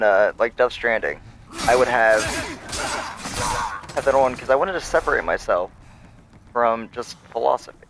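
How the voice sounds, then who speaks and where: Man streamer, low gravelly voice, lively intonation, hesitant musing delivery; clear background noise. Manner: rambling, thoughtful, hesitant with fillers. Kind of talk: casual musing while livestreaming a game.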